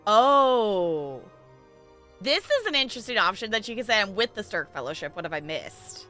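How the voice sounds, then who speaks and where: A woman's voice: medium pitch, sing-song, moderate pace, bright, forceful tone, soft background music. A woman livestreaming a game, chatting casually and informally with her viewers as she plays. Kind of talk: casual live gameplay commentary and chat.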